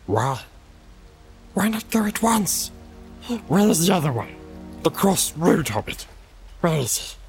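A man voicing a wretched, wheedling cave creature for an audiobook, with a hissing, gurgling rasp, broken childlike speech and a sly, suspicious manner. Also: fairly high pitch, sing-song, a little slow, long pauses, faint music behind, pitched above the performer's own voice, heavily acted. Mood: doubtful, suspicious. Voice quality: hissing, gurgling, raspy whisper. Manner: broken childlike speech, talks of self by name. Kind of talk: audiobook character voice.

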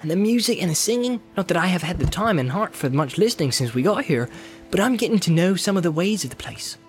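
A man's voice: high-pitched, very animated, fast talker, faint music behind, pitched above the performer's own voice. A man reading aloud, voicing a young male hobbit servant who talks eagerly and deferentially.

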